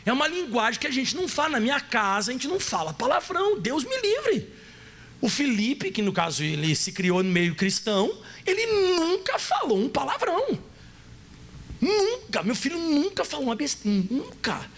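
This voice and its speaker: Animated gaúcho pastor, high voice, swings up and down a lot, jokey. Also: male voice, moderate pace. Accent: Gaúcho Brazilian Portuguese. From Rio Grande do Sul, Brazil. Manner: animated, playful, acts out voices and asks the audience questions. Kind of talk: sermon.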